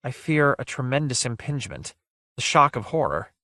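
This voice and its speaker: Man voicing a soft, fearful young fantasy maiden. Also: medium pitch, very animated, normal speed, dry recording, studio quality, voice pushed up. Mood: terrified.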